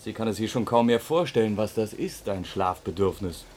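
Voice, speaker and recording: An actor playing a chummy, knowing colleague in a German science-fiction radio play, easygoing and sympathetic. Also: male voice, fairly low pitch, lively intonation, moderate pace, a little mellow, lightly acted. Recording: vintage radio recording, faint noise.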